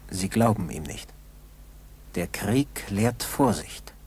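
A man narrating a scripted 1960s German school radio drama in a measured way with dramatic pauses. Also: on the low side, lively intonation, a little mellow, breathy edge, dry recording. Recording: noisy old 1960s radio recording.

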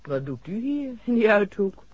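Self-possessed lady doctor, animated intonation. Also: mid-range voice, moderate pace, slightly rough, dry recording. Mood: politely inquisitive. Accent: Netherlands Dutch. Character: self-possessed, inquisitive female country doctor. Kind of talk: 1960s Dutch radio drama acting. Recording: muffled old radio recording.

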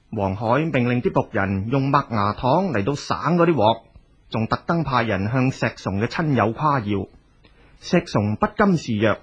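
Dull-sounding, husky Cantonese male storyteller. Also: medium pitch. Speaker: storyteller, narrator. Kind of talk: scripted audiobook narration of folk tales.

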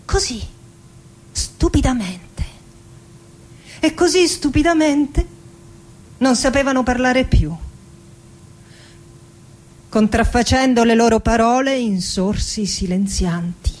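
An actress playing a melancholic middle-aged woman poet recalling her family in a slow, deliberate theatrical monologue with dramatic pauses. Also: a little high, animated, a little mellow. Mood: nostalgic, tender.

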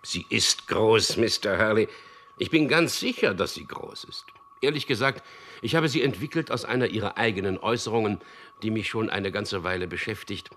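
An actor in a German radio drama playing a smooth-talking propagandist who tells and acts out his own story in an earnest way. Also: fairly low pitch, moderate pace, fairly bright tone. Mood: eager, persuasive.

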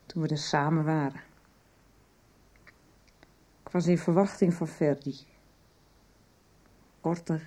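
A middle-aged mother a radio soap, fearful and wry. Her voice is fairly low and soft-edged. She confides slowly, with halting pauses. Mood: wistful. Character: troubled mother, recovering alcoholic, wry and fearful.

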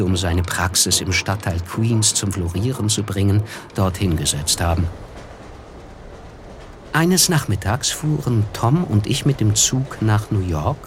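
An actor playing a reflective, wryly observant gentleman who narrates a literary radio play in a measured way. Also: fairly low pitch, noisy background. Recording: music under the voice. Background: music.

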